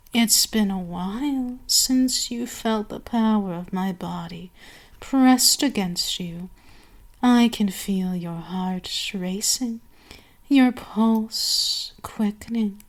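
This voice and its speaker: A woman performs as a domineering vampire queen in an intimate ASMR roleplay. She speaks very slowly and haughtily at a middling female pitch, letting pauses linger and slipping into breathy whispers. She is seductive and cruel, every phrase measured like a command.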